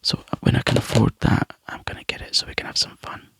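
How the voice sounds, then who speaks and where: A Scottish man chatting to viewers in a soft, rambling ASMR whisper, close to the mic. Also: fast. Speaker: ASMR YouTuber.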